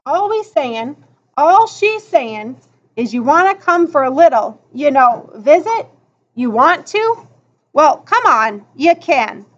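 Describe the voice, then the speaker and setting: Woman voicing a storybook boy, streetwise, defensive, clipped colloquial talk, high pitch, dull recording. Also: very animated, slow, full character voice. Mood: defensive, coaxing. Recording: dull lo-fi audio.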